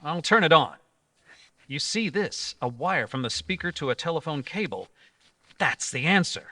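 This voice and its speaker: A man playing an earnest, authoritative hero of an old-time radio adventure, explaining things and giving brisk orders. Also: a little high, very animated, pushed and bright, exaggerated voice. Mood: brisk, commanding. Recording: live stage recording with background noise.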